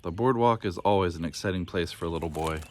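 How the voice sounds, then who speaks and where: A man's voice: sits low, quick pace, slight background noise. A man reading a children's storybook aloud as scripted narration. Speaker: storybook reader.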